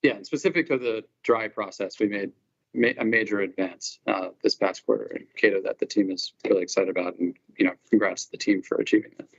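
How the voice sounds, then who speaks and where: A man's voice: lowish voice, brisk, vocal fry, dry recording, lo-fi sound. A man speaking as a company executive on an earnings call, conversational and emphatic, unscripted over a conference line.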